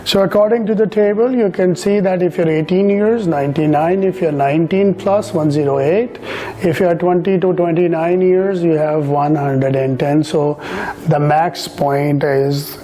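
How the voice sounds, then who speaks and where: A man's voice: fairly high pitch, fairly even intonation, normal speed, warm tone. A man working as an immigration consultant walks through the rules in a presentation, speaking patiently and directly to the viewer, with many "uh" fillers and repeated numbers. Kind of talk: explanatory presentation.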